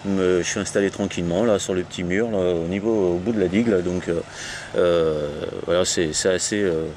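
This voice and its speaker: Low-voiced rambling French man on radio. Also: steady tone, fairly quick, darkish tone. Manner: rambling, hesitant, repetitive. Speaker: amateur CB radio operator leading a round of contacts. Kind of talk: casual radio chat. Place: outdoors on a low wall at the end of a sea dike. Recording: faint ambient noise, outdoor portable radio setup.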